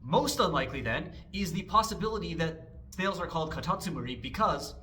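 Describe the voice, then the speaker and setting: A man hosting a casual language lesson, explaining things with wry jokes and lively asides. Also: on the high side, fairly quick, a little hiss or hum.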